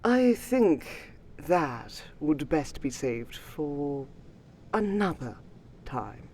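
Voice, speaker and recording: Woman playing a slow, gracious vampire noblewoman. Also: sits low, big pitch swings, darkish tone, a little hiss or hum, full character voice. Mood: gentle, reserved. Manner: formal, archaic, soothing, wistful. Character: gracious ancient vampire lady, noble keeper of a magical sanctum. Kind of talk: scripted fantasy roleplay audio, addressing the listener.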